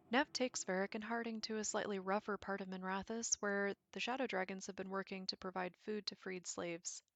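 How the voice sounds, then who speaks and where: A woman's voice: mid-range voice, fairly bright tone. A woman giving a casual, chatty explainer voice-over with informal, nerdy enthusiasm. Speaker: video creator explaining game lore. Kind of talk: casual commentary, lightly scripted explainer. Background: faint background music.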